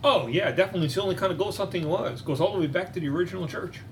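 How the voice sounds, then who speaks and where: A man's voice: high-pitched, racing, slight background noise. A man playing a paranoid, old-fashioned ghost hunter with a folksy, conspiratorial way of talking. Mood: earnest. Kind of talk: improv character interview.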